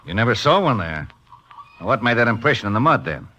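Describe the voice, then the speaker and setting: Folksy suspicious sheriff, forceful bright voice, animated pitch, emphatic delivery, old western radio drama. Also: male voice, a little fast. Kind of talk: 1950s radio drama acting. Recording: faintly noisy 1950s radio broadcast.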